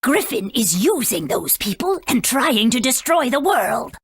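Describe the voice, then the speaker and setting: An actress playing a proud, aged female sage in a video game, husky, formal and haughty, stern yet kindly. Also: fairly high pitch, big pitch swings, measured pace, no echo, studio quality, heavily acted. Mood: grave, explaining.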